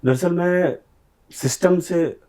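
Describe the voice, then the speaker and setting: A man's voice: high-pitched, takes it slowly, dark tone. A middle-aged man answering interview questions, speaking earnestly and with grievance in long run-on accusations. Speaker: film director, interviewee.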